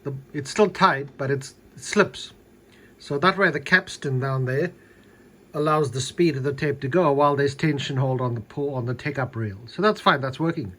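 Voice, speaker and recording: A man's voice: mid-range voice, moderate pace, a bit bright. A man giving a casual, unscripted hands-on demonstration, explaining things a little hesitantly as he goes. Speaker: hobbyist demonstrating a repaired tape deck.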